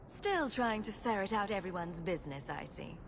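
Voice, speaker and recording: A woman's voice: mid-range voice, expressive, moderate pace, noisy background, close to an everyday voice. An actress playing a wry, sardonic female adventurer hero in a video game. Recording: game audio with long reverb and music.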